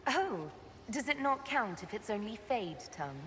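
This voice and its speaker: A woman's voice: mid-range voice, big pitch swings, normal speed, in a large hall, close to an everyday voice. A woman voicing a wry, teasing elven leader. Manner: dry, teasing. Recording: game audio with faint background noise.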